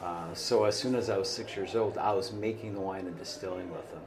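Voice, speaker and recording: A middle-aged man born in Portugal and raised in Canada, a bar owner chatting in an interview, rambling and halting, often trailing off quietly. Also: low voice, fairly even intonation. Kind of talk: casual interview chat. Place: small bar. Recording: noisy bar ambience behind.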